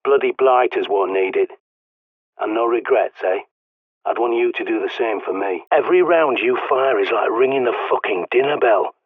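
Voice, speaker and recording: An actor playing a blunt, sweary, paranoid survivalist mentor in a video game, with an English accent, heard through a radio filter. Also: male voice, medium pitch, moderate pace, husky, no room sound. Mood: stern, blunt. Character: gruff paranoid doomsday survivalist man, bossy mentor. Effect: radio transmission filter. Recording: muffled narrow-band radio sound.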